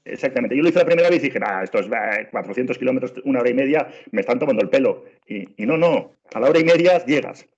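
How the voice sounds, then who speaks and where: A middle-aged man from Spain speaks with a clear Spanish accent. He presents in an insistent way, repeats himself and restarts his sentences often. The sound is dull and lo-fi, as on a video call. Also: medium pitch, animated, quick pace, few pauses. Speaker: train travel trainer. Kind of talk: webinar presentation.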